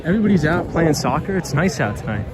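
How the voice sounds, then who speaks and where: A man's voice: fairly high pitch, big pitch swings, quick pace, noisy background. Man livestreaming on a night city walk. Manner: friendly, reads out viewer comments. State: voice slightly tired. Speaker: livestream host reading chat. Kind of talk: casual live-stream chat while walking. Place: outdoors on a city street at night, windy. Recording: street stream with clear music behind.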